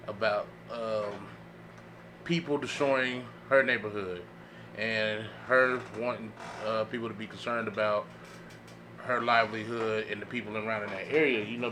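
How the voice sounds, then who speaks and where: Mid-pitched man, halting casual talk. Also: background noise. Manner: halting, slangy, frequent you know. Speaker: podcast panelist. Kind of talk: casual opinionated group chat.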